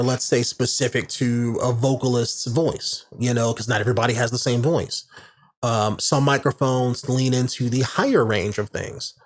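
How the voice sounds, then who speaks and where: A man hosting a podcast in a casual, rambling monologue with a warm, medium-range voice, full of fillers and restarts, recorded on a studio condenser microphone. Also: medium pitch. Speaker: podcast host. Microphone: large studio condenser microphone.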